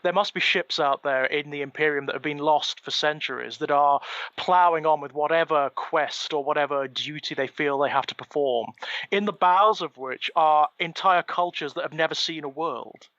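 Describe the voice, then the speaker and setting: A man co-hosting a podcast who speaks enthusiastically and at length. His voice is somewhat high and bright. The audio is dry and slightly muffled. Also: normal speed, no echo. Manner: enthusiastic, wordy and expansive. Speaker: podcast co-host. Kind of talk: casual podcast chat.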